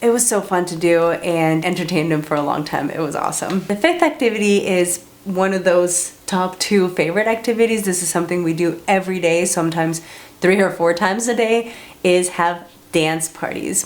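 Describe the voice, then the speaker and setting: A woman from Colombia talking casually to camera as a parenting vlogger, upbeat and chatty, with plenty of filler words. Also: fairly low pitch.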